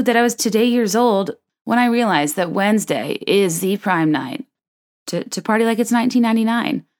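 A woman in her thirties hosting a solo podcast, chatting in a wry, rambling way with a low, soft late-night voice. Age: 30s. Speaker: podcast host. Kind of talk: casual solo podcast chat.

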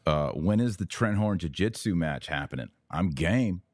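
Very deep-voiced man, close dry sound. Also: animated, normal speed, no echo. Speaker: podcast host. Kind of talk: live commentary and interview.

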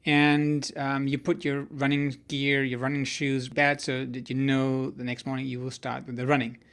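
A man with a mid-pitched voice conducts a conversational interview. He speaks haltingly, thinking out loud as he frames and restates ideas. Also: completely flat delivery. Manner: halting, thinks aloud while summarising. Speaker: podcast interviewer.

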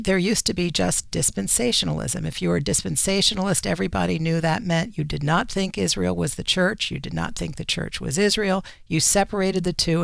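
A woman speaking as an editor and radio guest, explaining things conversationally in deliberate, measured phrases. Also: lowish voice, gravelly, no echo, studio quality.

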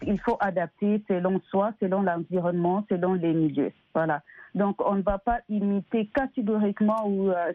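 A tinny, phone-like line carries a Congolese woman speaking French with a Congolese accent. Her pitch sits in the middle and the sound is dry, without room echo. She answers interview questions earnestly and emphatically, explaining through examples. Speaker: interview guest, economist.

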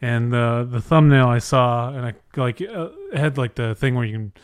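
A man telling crude anecdotes in casual podcast chat, offhand and halting. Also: mid-range voice, clear, resonant tone, no echo, studio quality. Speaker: podcast co-host.

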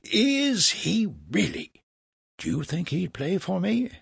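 A man's voice: a little high, big pitch swings, unhurried, vocal fry, fairly bright tone, breathy edge, dry recording, clean studio sound, voice pushed up, heavily acted. A man voicing a wily old philosopher in a storybook, courteous and theatrically coy. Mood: feigned surprise. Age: older. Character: wily old philosopher, flattering and feigning wistfulness.

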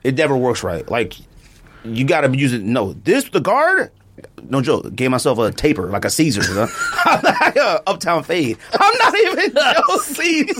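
A middle-aged man hosts a casual podcast in a fairly high, lively voice that swings up and down. He laughs often as he tells his stories and keeps repeating that he's not joking. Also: moderate pace, background noise. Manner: animated, laughing storyteller, repeats "I'm not even joking". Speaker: podcast host. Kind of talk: casual chat with ad read.

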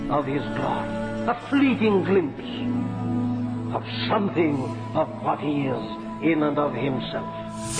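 A man's voice: on the high side, gravelly. A man delivering an oratorical sermon, emphatic, building in short phrases and repetition. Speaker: preacher. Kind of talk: rhetorical revival sermon. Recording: archival sermon under loud music. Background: loud music.

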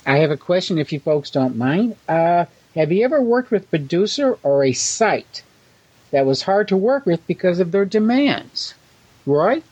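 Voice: mid-range voice, sing-song, normal speed, a little mellow. A host casually leading a panel, asking questions politely and tentatively. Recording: dull, lightly noisy lo-fi connection with clicks.

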